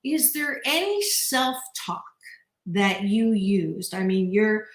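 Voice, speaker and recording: A woman hosting a radio talk show, bubbly and loud, laughing often. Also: medium pitch, sing-song, slow pace, roomy sound. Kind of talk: energetic radio chat.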